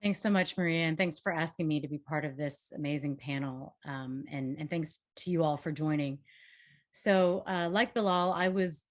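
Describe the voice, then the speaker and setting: A middle-aged woman with a deep, low voice speaks on a panel. Her talk is earnest and halting, full of fillers and restarts. She is from Vietnam.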